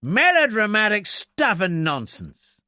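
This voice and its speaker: A man's voice: high-pitched, wildly swooping pitch, slow pace, bright, forceful tone, no echo, clean studio sound, voice pushed up, heavily acted. A man voicing a blustering, indignant military officer in an audiobook, with a pompous, sneering, emphatic manner.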